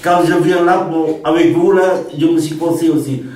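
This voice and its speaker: A man whose first language is Thai, teaching a song in halting speech with many pauses. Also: a little high, steady tone, darkish tone. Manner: halting, searching for words. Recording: echoey room with guitar.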